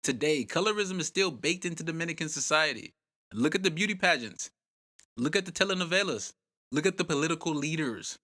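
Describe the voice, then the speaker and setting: A man narrates a scripted history documentary with a bright, ringing tone. His delivery is punchy and dramatic, full of rhetorical questions and short emphatic lines. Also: on the high side, expressive, a little fast. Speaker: host and narrator.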